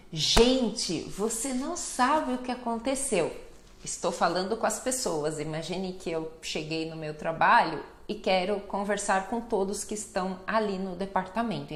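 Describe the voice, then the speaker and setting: A Brazilian Portuguese-accented woman teaching a language lesson, explaining slowly and carefully and often checking in with "né?". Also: medium pitch, reverberant. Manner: slow, careful explanations with frequent "né?" and lively greeting examples.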